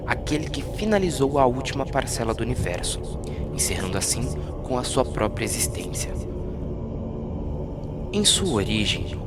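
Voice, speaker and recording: A Brazilian man reads a scripted fantasy legend as a dramatic narrator. His delivery is solemn and story-like, with a mid-range pitch. Music plays clearly behind his voice.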